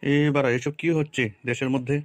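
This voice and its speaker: A man's voice: mid-range voice, level tone, quick pace, natural voice. A man voicing grumpy, foul-mouthed village men in a comic cartoon, coarse and exasperated.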